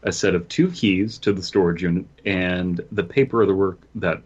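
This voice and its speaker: A man with a very low voice talks in a goofy, casual way as a podcast co-host, moving between banter and reading a story aloud. The audio is a little dull and lo-fi. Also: moderate pace, darkish tone, breathy edge.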